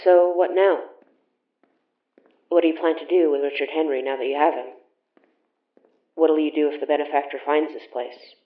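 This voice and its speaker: Casting: actress for young woman, friendly and teasing, naturalistic audio drama delivery, halting and casual, roomy lo-fi sound. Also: low-pitched, steady tone, lightly acted. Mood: questioning. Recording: roomy echo, dull lo-fi sound.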